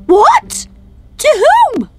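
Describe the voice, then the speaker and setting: A woman's voice: very high-pitched, wildly swooping pitch, extremely slow, faint music behind, full character voice. A woman playing a loving, worried wife in a storybook tale, speaking tenderly and emotively. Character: loving, gentle, worried wife of a poor teacher. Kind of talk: animated storybook character acting.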